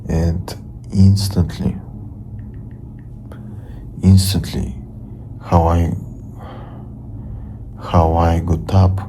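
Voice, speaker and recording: A young man with a Russian accent, a native Russian speaker, telling a story from his bedroom in halting English, repeating and restarting his words. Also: sits low, level tone, warm tone, a little hiss or hum, low-quality recording. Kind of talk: casual storytelling to camera.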